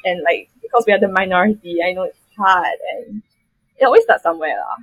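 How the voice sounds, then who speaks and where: Young Singaporean woman, guest, husky low-ish voice, rambling with fillers. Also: fairly quick, no room sound, low-quality recording. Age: young adult. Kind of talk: casual panel chat.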